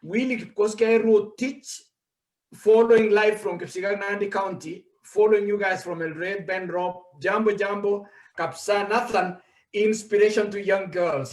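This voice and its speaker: Lively man hosting in an echoey room. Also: high voice. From Kenya. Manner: animated, reads out comments, laughs easily. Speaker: host. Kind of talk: casual livestream chat, reading viewer comments.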